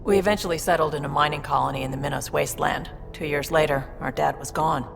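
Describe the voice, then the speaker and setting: An actress playing a tough, guarded alien smuggler in a video game, speaking in a dry, matter-of-fact way. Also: female voice, deep voice, fairly even intonation, fast, gravelly, big-room sound, music in the background. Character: tough, guarded female alien smuggler, pragmatic older sister. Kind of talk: video game character acting.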